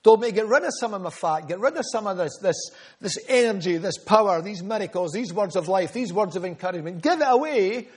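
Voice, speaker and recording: Male preacher, high-pitched, earnest storyteller. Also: animated, quick pace, hardly any pauses, a little mellow, breathy edge. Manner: earnest, storytelling, repeats phrases for emphasis. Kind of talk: sermon.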